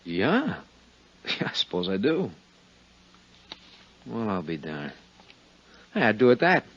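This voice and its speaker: A man's voice: lowish voice, huge pitch swings, takes it slowly, full character voice. An actor in a 1950s radio drama playing an affable but uneasy man under questioning, folksy and evasive with nervous chuckles. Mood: amused, puzzled. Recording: dull 1950s radio recording.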